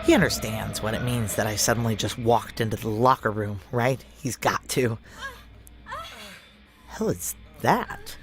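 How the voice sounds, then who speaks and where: A woman's voice: a bass voice, very animated, a little slow, music in the background, full character voice. An actress playing a tough, profane female security chief, narrating and acting in a noir audio drama in a wry, blunt, conversational way. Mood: wry, intimate.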